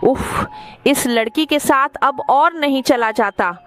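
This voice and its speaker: A woman's voice: sits high, slow, soft background music. A woman voicing a fretful, dutiful village mother who explains things in a cartoon story. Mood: exasperated, worried. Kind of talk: Hindi cartoon story dubbing.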